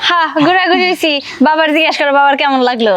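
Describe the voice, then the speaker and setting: A woman's voice: sits high, very fast, a bit bright, a little hiss or hum. A woman with a Bangladeshi accent acting an impatient married daughter who scolds her husband in a family comedy drama. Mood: coaxing, lively.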